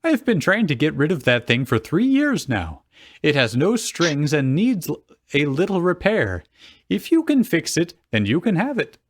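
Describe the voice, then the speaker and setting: A man's voice: a little high, huge pitch swings, normal speed, no room sound, clean studio sound, pitched above the performer's own voice. A man voicing an easygoing, obliging male shopkeeper in a plain-spoken way for a fan fiction reading. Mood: obliging, offhand.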